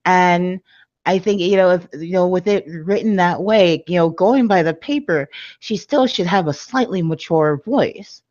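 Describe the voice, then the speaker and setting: Woman, lowish voice, casual rambling chat, lots of filler words, dull lo-fi sound. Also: a little slow, a little mellow, dry recording. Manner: rambling, heavy use of "like" and "you know". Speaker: podcast co-host. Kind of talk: casual chat about audiobooks.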